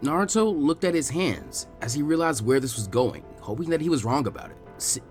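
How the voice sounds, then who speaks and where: A man reading fan fiction aloud in an expressive audiobook style. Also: fairly high pitch, a little fast, soft background music.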